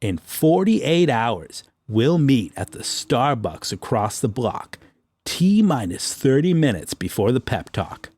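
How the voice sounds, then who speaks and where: A man's voice: medium pitch, big pitch swings, slow, a little mellow, slightly rough, no echo, exaggerated voice. An actor playing a pompous, taunting male superhero in a comic audio drama, with a theatrical, mocking delivery. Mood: smug, challenging. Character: pompous, taunting male superhero sergeant, electric-powered, gloating.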